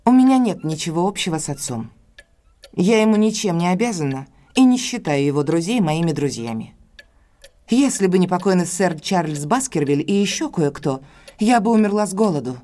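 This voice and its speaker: A woman's voice: on the low side, sing-song, normal speed, warm tone. An actress playing a proud, guarded, defensive woman in an audio drama, speaking formally and curtly. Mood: cold, guarded. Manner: formal, curt, indignant.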